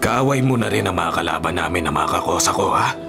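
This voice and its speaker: Menacing prison bully, music behind. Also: male voice, low voice, expressive, a little fast, gravelly. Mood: grudging. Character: hot-tempered, menacing male prison bully. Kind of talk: radio drama acting.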